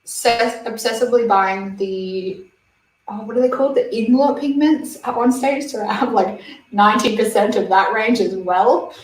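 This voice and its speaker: A woman's voice: fairly high pitch, normal speed. A woman, chatting casually on a beauty livestream and reading viewer comments aloud with giggles. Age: 20s. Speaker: beauty channel host. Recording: roomy, slightly distant mic.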